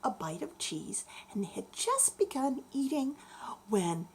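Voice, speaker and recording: A woman tells a bedtime story for children in a lightly high voice. Her delivery is slow and deliberate, with expressive, swooping intonation as she explains things to young listeners. A faint noise sits behind her.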